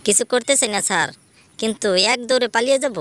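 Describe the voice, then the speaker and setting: A woman's voice: a little high, natural voice. Woman voicing a cheeky cartoon schoolboy, faintly noisy recording. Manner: impudent, quick with comebacks. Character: cheeky schoolboy Boltu, smart-mouthed class joker. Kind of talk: comedy cartoon dialogue in joke skits.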